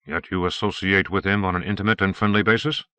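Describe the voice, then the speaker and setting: A man's voice: sits low, steady tone, fast talker, pushed and bright, smooth, no room sound. A man voicing a suspicious detective sergeant in an audiobook, harsh, probing and formal. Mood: cool, formal. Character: stern, suspicious male police detective sergeant. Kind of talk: audiobook character acting.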